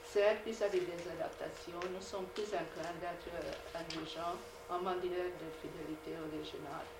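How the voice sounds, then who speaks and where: A woman whose first language is Hungarian, reading a measured academic lecture with frequent hesitations. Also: fairly low pitch, fairly even intonation, recorded from a distance. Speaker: conference speaker. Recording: echoey hall recorded from afar, noisy.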